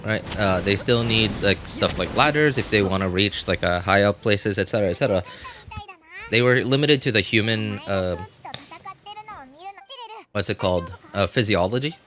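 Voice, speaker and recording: Bright-voiced man rambling casually on stream. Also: on the low side, normal speed, long pauses. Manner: hesitant, searching for words, repeats phrases. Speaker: game livestreamer. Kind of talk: casual rambling livestream chat.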